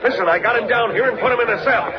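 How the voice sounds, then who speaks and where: An actor playing a gruff, blustering police sergeant in 1940s radio drama style. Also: male voice, very high voice, rapid-fire, dull audio. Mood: agitated. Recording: noisy old radio recording, crowd din.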